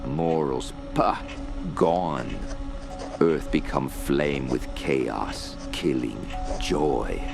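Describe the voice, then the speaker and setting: An aged, menacing cult prisoner for a horror radio play. The voice is rock-bottom deep and gravelly, delivering broken English in slow, incantatory fragments. Music runs clearly behind it. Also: male voice, exaggerated voice. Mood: fervent. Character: old, fanatical foreign male cult prisoner, menacing mystic. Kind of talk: radio horror drama acting.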